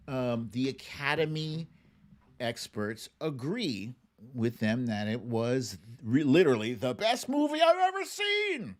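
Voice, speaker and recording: A man hosting a livestream with casual commentary, speaking in a halting, conversational way with pauses and emphatic stresses. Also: medium pitch. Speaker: host of a livestream show.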